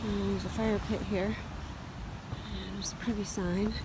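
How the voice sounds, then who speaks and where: A tired middle-aged woman thinks aloud in short, unhurried phrases, narrating a casual solo camping vlog outdoors. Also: medium pitch, level tone. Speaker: solo wilderness canoe camper vlogger. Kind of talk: casual spoken-to-camera trail vlog. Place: outdoors in forest and on river. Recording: very noisy outdoor handheld recording, rushing water.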